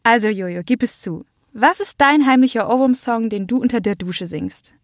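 Host: young woman, fairly high voice, playful informal interviewing style, light music bed. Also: normal speed. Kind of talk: casual scripted interview questions.

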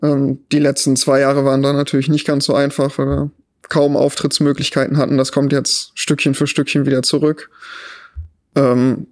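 A man in his thirties with a Rhineland German accent, a journalist answering podcast questions in a relaxed, thoughtful way with frequent ums. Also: mid-range voice, normal speed. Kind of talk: podcast interview.

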